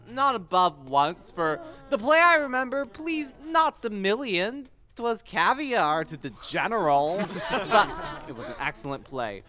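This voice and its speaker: A woman's voice: medium pitch, fairly bright tone. A young woman playing a witty, mocking young prince feigning madness in a theatrical, sardonic Shakespearean audio drama style. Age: young adult.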